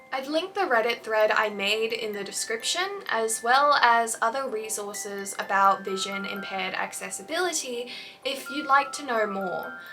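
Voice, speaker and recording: A woman's voice: on the high side, bright, forceful tone, recorded from a distance. A young woman YouTuber giving a scripted, earnest and encouraging explainer about fanfiction.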